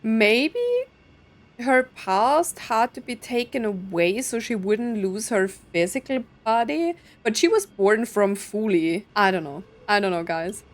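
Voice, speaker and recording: A woman's voice: medium pitch, unhurried. A woman giving casual let's-play commentary, thinking aloud in a puzzled, speculative way. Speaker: gaming content creator playing and reacting to a story quest.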